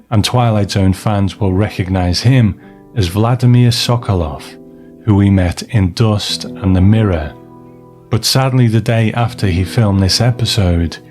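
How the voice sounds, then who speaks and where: A man with a lowish voice hosts a scripted commentary on classic television. He explains things in a measured way, with soft music behind him. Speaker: podcast host.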